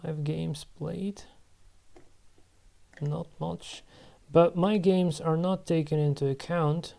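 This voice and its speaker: Man, 30s, channel host; dark, mellow tone; halting think-aloud game commentary. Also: fairly high pitch, steady tone, creaky. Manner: musing aloud to himself, halting. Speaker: channel host playing online board games.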